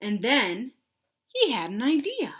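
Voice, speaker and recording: Bright-voiced woman reading aloud to children. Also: on the high side, wildly swooping pitch, slow pace, breathy edge. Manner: asks young listeners questions, explains in simple words. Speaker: read-aloud storyteller for children. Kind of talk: picture book read-aloud with asides to children.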